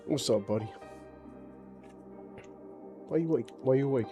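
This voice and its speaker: A man with a boomy voice, casually chatting while streaming a game from home and talking to his pet. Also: normal speed, faint music behind. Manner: relaxed, rambling, talks to his pet. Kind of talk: casual livestream chat. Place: home, indoors. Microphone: desk microphone.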